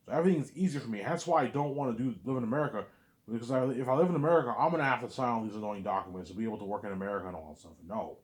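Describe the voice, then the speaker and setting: A man speaking in a middle-of-the-road voice, neither high nor low, in an unscripted, casual monologue. He rambles bluntly, circling back and repeating words as he restarts his sentences. He checks in with his listener again and again. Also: racing. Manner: repetitive, restarts words often; blunt, conversational, asks "you know what I'm saying". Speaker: vlogger.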